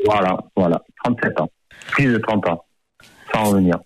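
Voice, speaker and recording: A man's voice: fairly low pitch, normal speed, a little hiss or hum, low-quality recording. Hesitant middle-aged man on radio. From France. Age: 50s. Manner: hesitant, many fillers and repeated words. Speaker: author. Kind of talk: radio interview.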